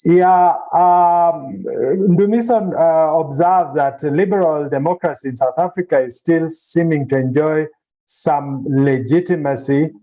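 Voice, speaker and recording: A man giving spontaneous academic remarks in a discussion, reflective and halting, with hesitant pauses. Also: fairly high pitch, dark tone, low-quality recording. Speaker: symposium speaker, book editor. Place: online video symposium.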